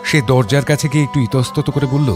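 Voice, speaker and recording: Man acting reflective father narrator, mid-pitched, measured literary storytelling, expressive, music behind. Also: close to an everyday voice. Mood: uneasy. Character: reflective father narrating his own memories. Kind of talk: dramatic audio story narration. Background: background music.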